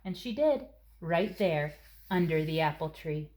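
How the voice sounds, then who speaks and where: A woman reading a children's story aloud as narrator, with expressive, deliberate phrasing. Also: sits low. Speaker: storybook reader.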